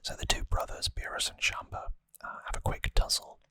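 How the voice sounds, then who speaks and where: An ASMR host whispering breathily and close to the microphone, explaining things softly and informally with some hesitation. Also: fairly quick, no echo. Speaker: host of a trivia ASMR show. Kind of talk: ASMR whispered episode recap.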